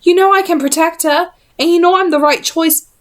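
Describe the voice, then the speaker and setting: A woman's voice: extremely high pitch, brisk, a bit bright, pitched above the performer's own voice. A woman voicing a bold, impatient seventeen-year-old male hunter in a storybook, cocky and insistent.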